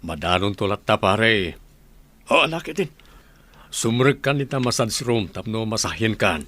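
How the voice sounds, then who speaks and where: A man playing a gentle, conciliatory village father in a Filipino radio drama. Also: lowish voice, lively intonation, moderate pace. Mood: jovial.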